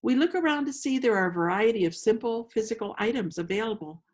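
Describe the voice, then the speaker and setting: A woman leading a guided meditation as host, speaking slowly and invitingly with long pauses, in a gentle storytelling style. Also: on the high side, expressive. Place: outdoors among roses.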